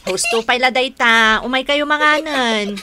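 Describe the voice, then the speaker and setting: A woman acting a caring, bustling young mother in an Ilocano radio drama, with an expressive, motherly delivery. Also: sits high, unhurried. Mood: bustling, hospitable.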